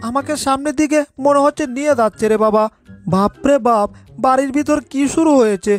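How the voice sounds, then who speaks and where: Woman voicing a panicky high-pitched cartoon cat. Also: a little fast, soft background music, natural voice. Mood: alarmed. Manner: exclaiming, fretful muttering to itself. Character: panicky, put-upon male cartoon cat, easily frightened. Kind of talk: cartoon fan dub.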